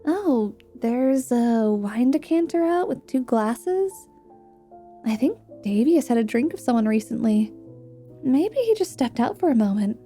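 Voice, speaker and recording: A woman's voice: fairly high pitch, very animated, measured pace, warm tone, soft background music. A woman doing a sweet chatty young mage student. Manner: chatty, eager, giggly messages. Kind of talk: ASMR roleplay.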